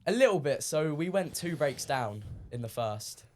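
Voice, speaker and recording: A teenage man from the UK, a guest on a podcast, talking casually with lots of ums, his voice a little croaky from shouting. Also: a little high, moderate pace. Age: teens. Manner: relaxed, many ums, recounting matches. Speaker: guest player.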